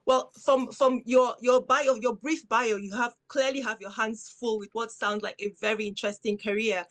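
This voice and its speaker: A woman's voice: on the high side, fairly even intonation, moderate pace, a bit bright. A woman hosting an interview, giving a formal, welcoming introduction and posing questions. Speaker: interviewer, host.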